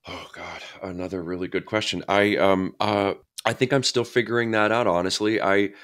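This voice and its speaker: A middle-aged man, a guest actor, talking reflectively in a casual interview, often pausing and restarting his sentences. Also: low voice, steady tone, fairly bright tone. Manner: reflective, halting, many restarts and fillers.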